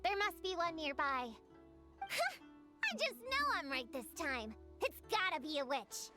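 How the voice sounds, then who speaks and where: A confident little girl investigator, high voice that swoops around, bright, insists she's right, game music behind. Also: huge pitch swings, measured pace, exaggerated voice. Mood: insistent. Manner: earnest, insistent, a little self-important. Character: eager young girl investigator, confident and stubborn. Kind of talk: video game voice acting.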